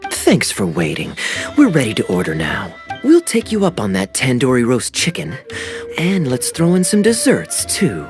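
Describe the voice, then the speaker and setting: An actor playing a charming, playful young adventurer in a video game cutscene, with a smooth, easy delivery. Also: male voice, medium pitch, wildly swooping pitch, moderate pace, over music. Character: suave, teasing young adult male adventurer. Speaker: game character.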